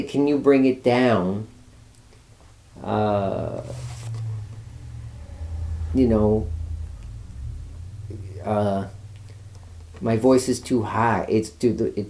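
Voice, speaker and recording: A man's voice: medium pitch, mellow. A man giving a guitar lesson, patiently walking students through chords step by step. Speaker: guitar teacher. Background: guitar playing.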